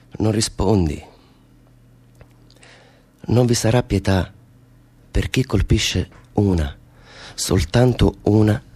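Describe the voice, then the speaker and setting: A man's voice: a little mellow, husky, heavily acted. An actor playing a ruthless zealous man of power in a theatrical radio drama, declaiming in an insistent, rhetorical way. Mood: menacing. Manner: declamatory, rhetorical, insistent, swelling from low to high.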